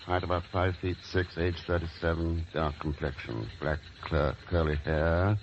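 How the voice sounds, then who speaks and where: A dull, hissy vintage radio recording carries a cool secret agent. His voice sits low, and he speaks with understated politeness while staying shrewd and watchful. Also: fairly even intonation, unhurried. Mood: dryly watchful. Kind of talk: 1940s radio acting.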